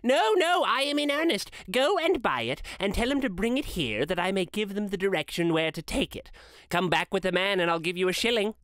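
A storybook reader voicing an excitable, delighted old gentleman, hearty and exclamatory, stammering with eagerness. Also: medium pitch, fairly quick, clean tone, no echo, pitched above the performer's own voice, natural voice. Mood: excited, delighted. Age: older. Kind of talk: dramatic storybook character reading.

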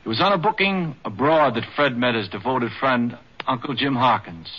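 A man's voice: medium pitch, lively intonation, normal speed, slightly rough. Male narrator on vintage radio. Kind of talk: scripted radio documentary narration. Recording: dull 1950s radio broadcast.

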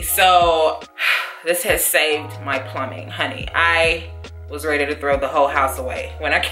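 A young woman chatting to camera in her bedroom, animated and talkative, calling viewers y'all and honey, with a deep voice. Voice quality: deep, low voice. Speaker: lifestyle vlogger. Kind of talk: casual chat to camera. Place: bedroom at home. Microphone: camera mic, seated facing camera. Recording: roomy bedroom echo.

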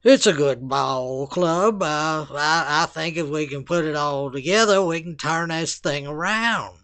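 A man playing a baseball manager haltingly giving platitudes in a parody sports interview. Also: fairly high pitch, fairly bright tone. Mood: flatly hopeful. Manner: halting, cliché-spouting.

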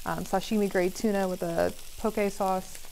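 Young woman, mid-pitched, casual restaurant cook-demo guest, audible frying noise around the voice. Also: steady tone, moderate pace, mellow, rough recording. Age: young adult. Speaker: restaurant assistant manager demonstrating a dish. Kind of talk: casual live cooking demonstration. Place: TV studio kitchen, indoors. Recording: clear sizzling pan noise. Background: frying and sizzling.

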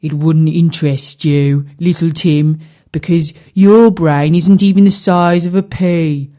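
A woman voicing a grumpy, scornful male carp in a children's story, speaking with slow disdain. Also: low voice, fairly even intonation, warm tone.

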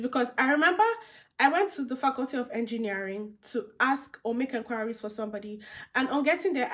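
A young woman chats to her viewers from a curtained room, her voice sitting a little high. She is friendly and informal, circling back over the same points and calling everyone "guys". She speaks to a camera set a short distance away. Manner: chatty, repeats phrases, talks to viewers as "guys". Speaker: vlogger. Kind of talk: casual advice vlog to camera. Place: indoor room with curtains behind.

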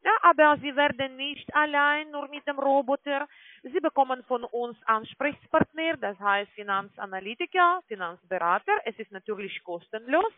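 A woman's voice: high-pitched, normal speed, no echo. Woman on a tinny phone line, non-native German. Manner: explanatory, frequent tag questions seeking confirmation. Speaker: call-centre agent selling online trading. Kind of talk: phone sales pitch. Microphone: telephone call.

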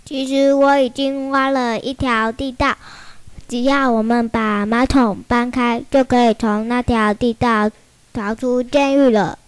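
A woman's voice: on the high side, fairly even intonation, unhurried, smooth, no room sound, lightly acted. A young woman voicing a loyal, eager cartoon duck who quacks between sentences. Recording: loud music behind.

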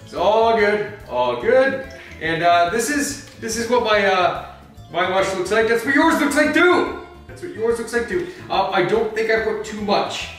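A man's voice: high-pitched, expressive, measured pace, a bit bright. A man playing Batman as a flustered host of a comedic cooking show, rambling through the steps and snapping into angry outbursts, in a home kitchen. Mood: explaining. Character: Batman, gruff brooding male superhero cooking. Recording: very echoey room, mic far off, faint music.

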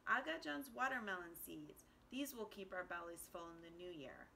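A woman's voice: lowish voice, lively intonation, moderate pace, vocal fry, bright, forceful tone, slight background noise, heavily acted. A woman voicing an eager, inquisitive little boy in a children's storybook. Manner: inquisitive, earnest.

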